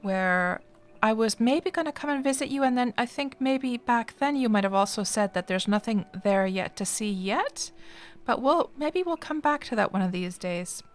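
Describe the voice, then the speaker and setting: A woman's voice: on the high side, expressive, normal speed. A middle-aged woman, chatting casually and expressively with viewers as a live streamer.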